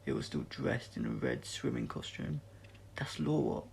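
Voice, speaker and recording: Male amateur audiobook reader, mid-range and heavily fry-laden, scripted narration, slight background hiss. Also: medium pitch, huge pitch swings, normal speed. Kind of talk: scripted story narration read aloud, amateur.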